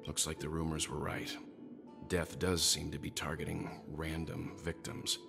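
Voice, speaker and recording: A calm older gentleman from a video game with an extremely deep voice. He speaks formally and thoughtfully, weighing his words, over prominent music. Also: measured pace, vocal fry, heavily acted. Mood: calm, pensive. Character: calm, grave, courteous older male traveler. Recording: game audio under music.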